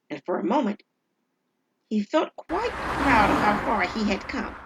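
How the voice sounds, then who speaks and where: A woman narrating a scripted story as a storyteller, measured and dramatic in her delivery. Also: medium pitch, background noise. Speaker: storyteller, writer and performer. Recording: dull, lo-fi audio.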